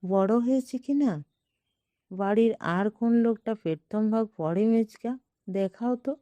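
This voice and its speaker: Small, crafty niece in an audio story, played by a woman: childlike coaxing, soft-edged and unhurried. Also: fairly high pitch, no room sound, dull audio, lightly acted. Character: little girl, wily, coaxing niece pleading with uncle.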